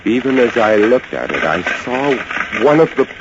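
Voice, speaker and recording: An actor playing a lighthouse keeper, narrating a horror tale with dramatic, breathless intensity and hesitant stammers in old-time radio style. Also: male voice, medium pitch, very animated, moderate pace, very noisy. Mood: hushed dread. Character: male lighthouse keeper recounting a terrifying rat siege. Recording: dull old radio recording under loud music.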